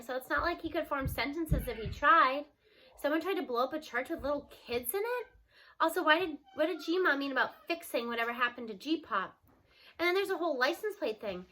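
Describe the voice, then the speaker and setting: Woman, home read-aloud of a novel, acted dialogue, high voice, faint background noise. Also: bright, forceful tone, rough recording. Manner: acts out dialogue with raised voice and slows for emphasis. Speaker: reader reading a novel aloud. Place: home with children nearby.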